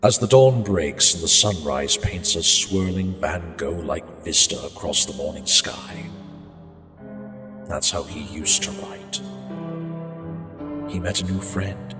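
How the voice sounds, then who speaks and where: A man playing an unhinged murderer narrating his haunted tale in a brooding, halting, theatrical horror monologue. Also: deep voice. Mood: brooding, musing. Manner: brooding, halting, slow, theatrical prose delivery. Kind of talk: dark dramatic horror monologue. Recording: echoey reverberant hall sound, music behind.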